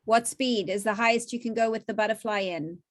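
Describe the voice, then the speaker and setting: A woman's voice: a little high, fairly quick. Upbeat New woman teaching cooking. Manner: upbeat, chatty, asks questions of a child. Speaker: cooking class host. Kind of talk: virtual cooking class. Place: home kitchen.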